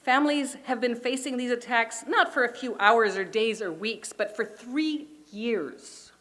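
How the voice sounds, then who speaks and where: A middle-aged woman from Israel, a native Hebrew speaker, delivering a prepared political speech at a rally in an emphatic, deliberate oratorical manner. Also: a little high, a bit bright. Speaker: community organization chair speaking at a rally.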